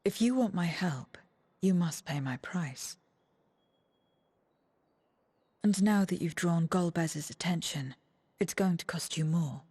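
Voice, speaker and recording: A woman playing a guarded, world-weary survivor in a video game cutscene, speaking in a measured, solemn, detached way. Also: fairly low pitch, dark tone, husky. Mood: cold, bargaining. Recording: background music under the voice.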